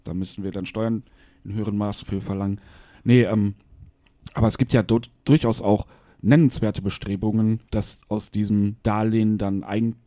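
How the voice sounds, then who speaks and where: A man's voice: on the low side, flat delivery, normal speed, warm tone. A man from Westphalia co-hosting a casual podcast chat, explaining things in a matter-of-fact way. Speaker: podcast co-host.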